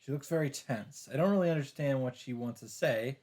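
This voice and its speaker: A man's voice: mid-range voice, brisk, a little mellow. A man giving casual commentary on a game playthrough, with wry, self-interrupting asides.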